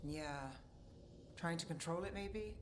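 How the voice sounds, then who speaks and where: An actress playing a serious, observant woman who investigates magic in a video game, measured and analytical. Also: on the low side, slight background noise. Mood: focused. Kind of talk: dramatic video game acting. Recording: loud game music behind.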